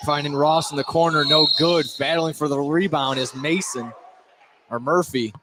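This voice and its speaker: A man doing live radio play-by-play of a basketball game, in an excitable way that rises for big plays. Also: on the high side, normal speed. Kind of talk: live radio sports commentary. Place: indoor high school gymnasium, courtside. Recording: noisy live gym sound. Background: loud gym ambience.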